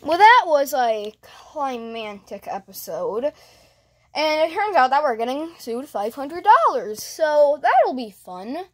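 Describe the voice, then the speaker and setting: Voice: high voice, very animated, slow, full character voice. A child voicing a cheeky little creature who works as cameraman on a cartoon show. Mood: wry. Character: cheeky Grookey cameraman of a cartoon interview show, eager for drama.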